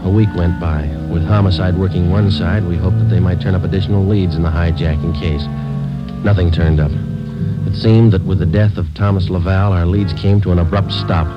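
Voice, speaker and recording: A man acting as a weary police sergeant in an old radio drama speaks in a very low, deadpan voice. His delivery is clipped and matter-of-fact, on a muffled vintage recording with prominent music. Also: brisk, lightly acted. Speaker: actor, lead and narrator of police radio drama.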